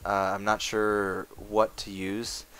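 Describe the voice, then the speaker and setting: A man talking casually over his gameplay footage, rambling with lots of fillers, his voice going hoarse. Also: fairly low pitch, completely flat delivery, takes it slowly, fairly bright tone. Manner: rambling, full of uh fillers, chatty. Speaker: gaming video maker. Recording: game music playing underneath. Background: game music.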